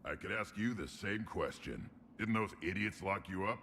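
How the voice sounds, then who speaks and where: A man voicing a brash, proud young alien warrior commander in a video game, gruff and growling in blunt, clipped phrases. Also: low-pitched, normal speed, pushed and bright, slight background noise. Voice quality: gravelly, growling.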